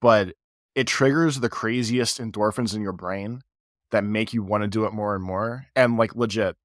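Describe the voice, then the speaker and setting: A man's voice: on the low side, normal speed, fairly bright tone, no echo, studio quality. A man co-hosting a podcast in casual chat, stuffy from a cold, rambling with lots of fillers. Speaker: podcast co-host.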